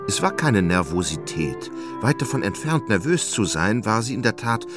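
Male radio-play narrator, polished and dramatic, very low voice with animated melody, over prominent music. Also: normal speed. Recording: loud music behind the narration.

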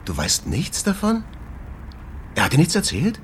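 A man's voice: a little high, huge pitch swings, unhurried, slight background noise. A man playing a harried, irritable jazz club owner in a German radio drama, impatient, curt and nervy. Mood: impatient probing.

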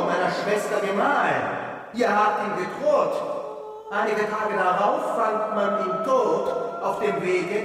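An actor playing a vengeful, mysterious Renaissance doctor of secret arts in a radio play, speaking in portentous, accusing archaic phrases. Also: male voice, high voice. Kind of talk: theatrical German radio play acting. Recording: echoey hall from far off, music underneath.

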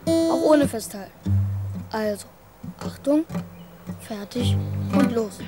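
A woman's voice: fairly high pitch, takes it slowly, over loud music. A woman playing an eager, caring, slightly bossy young boy in a children's radio play. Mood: bossy coaching.

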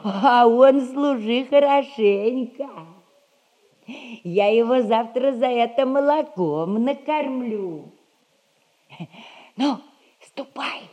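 A husky, cheeky old servant. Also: female voice, a little high, slow, long pauses, warm tone. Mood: playful, conspiratorial. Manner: folksy, bantering. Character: brisk, cheeky, scheming old servant nanny. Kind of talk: classic stage comedy radio acting.

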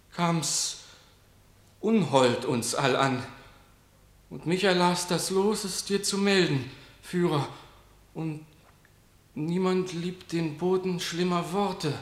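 An actor playing a sly, servile guard who declaims in halting phrases in a verse tragedy radio play. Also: male voice, high voice, echoey room. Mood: fearful, declamatory. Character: wary lowly male guard reporting to his ruler.

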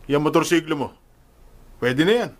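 An actor playing a menacing loan collector in a radio drama, taunting and threatening. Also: male voice, on the high side, expressive, moderate pace, lightly acted. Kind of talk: Filipino radio drama acting.

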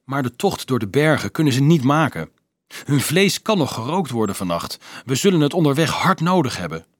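A man's voice: mid-range voice, sing-song, a little fast, no echo, pitched above the performer's own voice. A man voicing a proud, reasoning teenage boy in a storybook, firm and emphatic. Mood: calm, firm.

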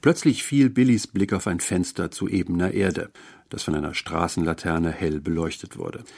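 Deep slightly husky guy narrating a short story, careful diction, audiobook style. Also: normal speed, a little mellow. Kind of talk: scripted literary audiobook narration.

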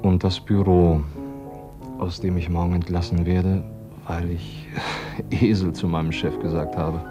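A man's voice: low-pitched, level tone, unhurried. An actor playing a tender, infatuated, tipsy man murmuring to his lover in a 1950s German radio play. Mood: dreamy, reckless. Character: infatuated, tipsy, dreamy male lover in a bar. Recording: dull 1950s radio recording with guitar music. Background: plucked guitar music.

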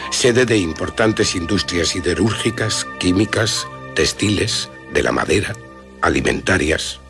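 A man's voice: low-pitched, expressive, measured pace, gravelly. A man with a Castilian Spanish accent reading scripted documentary narration in a formal, factual way. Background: music.

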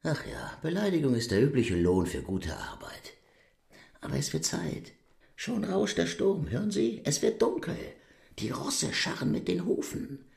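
An actor playing a brisk, mocking demon henchman in a theatrical, sardonic radio play style. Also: a little high, very animated, gravelly, darkish tone, roomy sound, full character voice. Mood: urgent, sardonic. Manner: sardonic, wildly swooping delivery.

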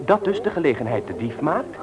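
An actor playing a cocksure, bossy young ringleader in a radio play, instructing with firm emphasis. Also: male voice, a little high, big pitch swings, a little fast, highs cut off, over loud music. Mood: assured.

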